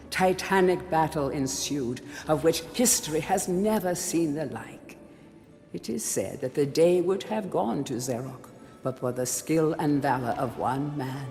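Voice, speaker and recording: An actor playing a grave chronicler of an old fantasy kingdom, narrating a game's storybook history in a solemn, theatrical way. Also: male voice, mid-range voice, darkish tone, natural voice. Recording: long hall reverb, music underneath. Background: music.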